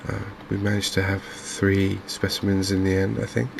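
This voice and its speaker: A man giving softly spoken, ASMR-style commentary as host of a gaming channel, in a halting, understated way. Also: low-pitched, flat delivery, creaky, background noise.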